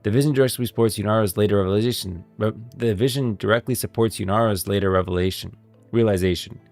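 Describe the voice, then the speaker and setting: A man's voice: fairly low pitch, quick pace, a little mellow, soft background music. A man hosting a stream of game lore commentary, moving between casual talk and scripted reading. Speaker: streamer, host.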